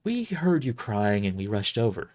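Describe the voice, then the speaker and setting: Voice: medium pitch, very animated, normal speed, darkish tone, close to an everyday voice. A performer voicing a sweet but fierce woman who scolds like a schoolteacher in an audio drama. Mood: sweet, indignant. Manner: schoolteacher-like, emphatic.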